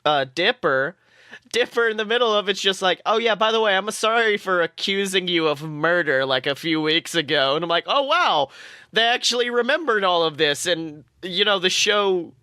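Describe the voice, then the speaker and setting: A man's voice: sits high, animated, moderate pace, pushed and bright, clear, resonant tone, no echo. A man co-hosting a podcast, chatting casually and animatedly about a TV show, swearing freely. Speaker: podcast co-host.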